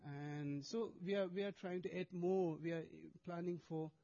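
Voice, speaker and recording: A man gives a talk in hesitant English, restarting words and filling pauses. His voice sits a little high and sounds mellow. The recording is slightly dull and lo-fi. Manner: halting, many restarts and fillers, non-native English. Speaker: monastic speaker presenting charity work. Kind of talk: presentation to an audience with video.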